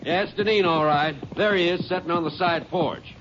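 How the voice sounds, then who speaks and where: An actor playing a folksy country sheriff. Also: male voice, sits high, moderate pace, loud music behind the voice, natural voice. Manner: drawling, folksy. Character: plain-spoken rural county sheriff, man. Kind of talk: 1950s radio drama acting. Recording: muffled noisy 1950s radio broadcast.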